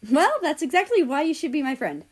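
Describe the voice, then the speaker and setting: A woman's voice: high-pitched, very animated, fairly quick, voice pushed up. A woman voicing a cheerful, eager young male character in a storybook reading.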